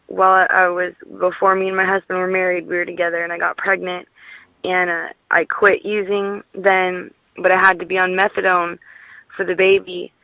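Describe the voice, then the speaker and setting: A woman's voice: fairly low pitch, monotone, moderate pace, vocal fry, no echo. A young woman talking earnestly in a phone conversation, over a slightly muffled line. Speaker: prank call recipient.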